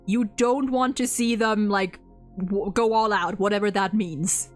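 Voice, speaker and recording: A woman talking casually about a game she plays, in an animated, gushing manner and acting out quotes as she goes. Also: medium pitch, a little slow, soft background music. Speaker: co-host ranking game story quests. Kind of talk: casual gaming chat.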